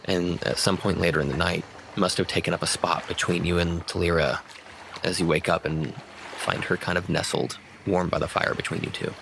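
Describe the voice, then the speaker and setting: A man's voice: deep voice, steady tone, a little fast, gravelly, a bit bright, a little hiss or hum. A man acting as game master, narrating a tabletop roleplaying game in descriptive, conversational prose.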